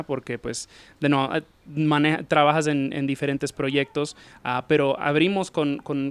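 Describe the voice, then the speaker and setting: A man's voice: on the high side, clear, resonant tone. A man hosting an interview, talking in a hesitant way, often restarting his sentences. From not Chilean, lived in Chile. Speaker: interviewer host. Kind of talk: casual interview chat.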